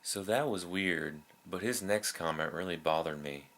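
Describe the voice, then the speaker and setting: A man's voice: low voice, normal speed. A man reads scripted first-person creepy stories as a narrator. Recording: music behind the voice.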